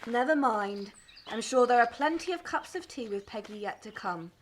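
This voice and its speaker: A woman's voice: a little high, lively intonation, normal speed, a little hiss or hum, natural voice. A woman acting a kindly, welcoming wartime mother in an audio play. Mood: gentle, reassuring.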